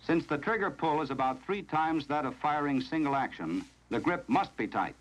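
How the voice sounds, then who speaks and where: An American man with a slightly high-set voice narrates an instructional film from the 1970s. His delivery is formal, scripted and measured, giving clear instructions. It has the sound of a vintage film soundtrack. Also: lively intonation, rough recording.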